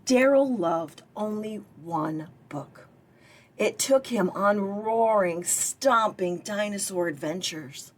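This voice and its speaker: A woman's voice: mid-range voice, lively intonation, slow pace, a little hiss or hum. A woman reading a picture book aloud for story time, expressively, with chatty asides to young listeners. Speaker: library director and former children's librarian reading aloud.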